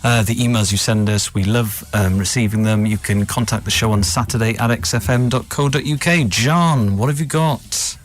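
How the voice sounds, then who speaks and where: A man's voice: fairly low pitch, moderate pace, hardly any pauses. A Welsh man with a Welsh accent, co-hosting a comic radio show in a studio, playful and giggly, dropping into Welsh phrases. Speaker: radio co-host.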